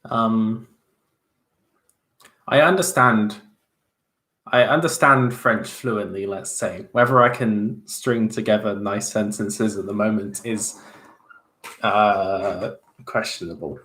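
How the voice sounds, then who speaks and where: A man's voice: fairly low pitch, steady tone, slow, pauses often, fairly bright tone. A young man chatting casually as he answers viewer questions on a livestream, self-deprecating, with many fillers and restarts. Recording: roomy echo.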